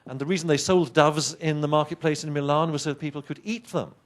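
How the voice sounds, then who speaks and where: A middle-aged man with an English accent presents a science talk to an audience, chatty and full of wry asides. His voice sits on the higher side for a man. English is not his first language. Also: steady tone, quick pace. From England. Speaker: conference speaker.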